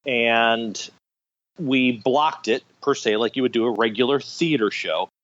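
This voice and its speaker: A man's voice: mid-range voice, a little slow, fairly bright tone, dull audio. A middle-aged man telling anecdotes enthusiastically as an interview guest, in a conversational storytelling style. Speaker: guest, radio theater director.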